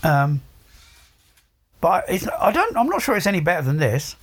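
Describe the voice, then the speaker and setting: A man co-hosting a casual podcast, his voice gravelly and a little husky. He talks about recording gear in a relaxed, hesitant way, with plenty of ums. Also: sits high, normal speed. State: voice getting croaky. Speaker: podcast co-host.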